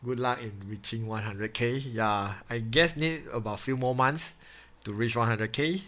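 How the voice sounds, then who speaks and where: A young man with a Malaysian English accent chats casually to his viewers during a live online maths class. His voice sits at an ordinary middle pitch for a man. He laughs easily and talks in a relaxed, loose way into a computer microphone. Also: normal speed. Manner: chatty, laughs often, loose grammar. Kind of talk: casual live-stream chat with students.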